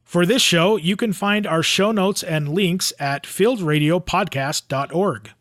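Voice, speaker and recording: American male podcast host, higher-pitched voice, dry sound. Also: unhurried, fairly bright tone, no room sound. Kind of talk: podcast announcements and interview.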